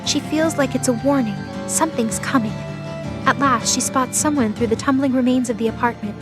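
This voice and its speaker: High-pitched woman narrating an audio drama. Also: brisk, over music.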